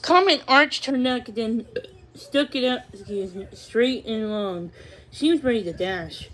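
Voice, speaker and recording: A woman's voice: a little high, measured pace, a bit bright, rough recording. A woman narrating a scripted children's story as a read-along.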